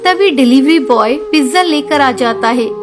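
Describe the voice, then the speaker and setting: A woman's voice: high voice, lively intonation, normal speed, music in the background. A woman narrator reading a scripted children's cartoon story.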